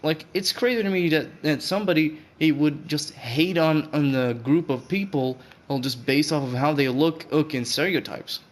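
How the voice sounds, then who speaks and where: A man's voice: fairly high pitch, normal speed, hardly any pauses. Hesitant man with an English accent. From Saudi Arabia. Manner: rambling, hesitant, many fillers and restarts. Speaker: gaming video creator. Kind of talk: unscripted voice-over commentary. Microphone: voice-over over gameplay footage. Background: guitar music.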